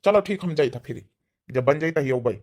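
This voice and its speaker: A man's voice: fairly high pitch, expressive, normal speed, a little mellow. A man voicing a grumbling old village husband in an Awadhi comedy cartoon, plain-spoken and remonstrating. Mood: resigned. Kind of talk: rustic comedy cartoon dialogue.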